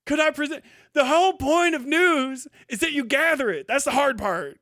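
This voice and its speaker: A man's voice: very high voice, animated, normal speed, pushed and bright, dry recording, clean studio sound. A man hosting a livestream with casual reaction commentary, talking and reading aloud in a blunt, mocking, profane way. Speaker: livestream host.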